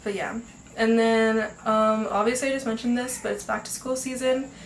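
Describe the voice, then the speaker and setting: A woman's voice: a little high, fairly even intonation, moderate pace, a little hiss or hum. A teenage girl hosting her own podcast, chatting casually with lots of fillers, sounding a bit nasal from congestion. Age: teens. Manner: chatty, upbeat, many fillers and laughs. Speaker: podcast host. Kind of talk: casual chat. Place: home room. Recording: echoey home room, faint ambience.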